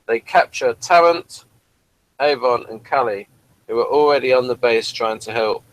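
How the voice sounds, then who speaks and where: A man's voice: mid-range voice, moderate pace, poor audio. A man co-hosting a casual review, talking and reading aloud, sometimes stumbling and correcting himself. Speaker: review show co-host. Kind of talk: casual review chat, reading out an episode synopsis.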